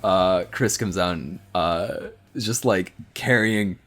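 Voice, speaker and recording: A man improvising a story as a tabletop player, casual and halting, often repeating himself. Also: low voice, fairly bright tone.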